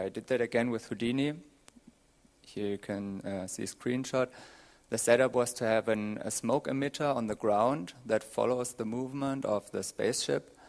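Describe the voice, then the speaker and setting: A young man presenting his work at a conference in a low, fairly flat voice. He goes along haltingly, searching for the next phrase, and sounds like a student explaining a technical project. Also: vocal fry. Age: young adult. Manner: hesitant, halting delivery. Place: dim conference auditorium with audience, on a panel.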